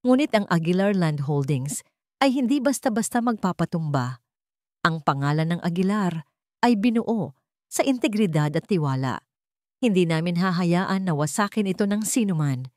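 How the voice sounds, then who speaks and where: A woman's voice: on the low side, animated, normal speed, darkish tone, dry recording, clean studio sound, lightly acted. A woman voicing a determined daughter and heir, firm and earnest, in a dramatic audio story. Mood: resolute. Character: determined daughter, young woman heir defending family company.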